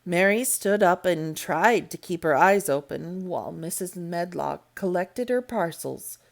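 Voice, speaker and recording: A woman reading a classic novel aloud as storybook narration, in a deliberate, measured manner. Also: lowish voice, lively intonation, creaky. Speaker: storybook reader. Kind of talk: read-aloud audiobook narration.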